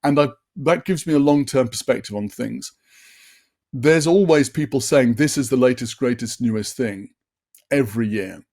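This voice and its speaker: A man's voice: medium pitch, moderate pace, a little mellow. Chatty older man presenting a webinar. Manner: chatty and upbeat, many fillers and restarts. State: slightly deeper voice from a late night. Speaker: conference session presenter.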